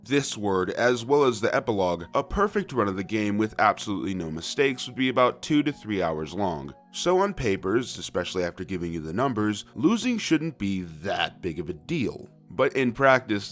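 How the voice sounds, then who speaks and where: A man narrating a scripted comedic video review, with sarcastic, profane, conversational asides. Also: fairly low pitch.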